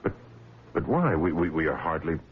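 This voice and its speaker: A man's voice: low voice, sing-song, normal speed, husky, heavily acted. A man playing an earnest, concerned ship's doctor who also narrates, in old-time radio drama style. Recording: muffled, noisy old radio broadcast recording.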